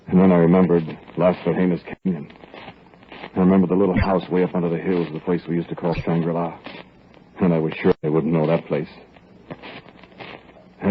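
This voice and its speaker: An actor playing a grim man on the run with stolen money, narrating in a low, measured way in a 1940s radio drama. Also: slight background noise, natural voice. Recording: tinny old radio broadcast with faint music.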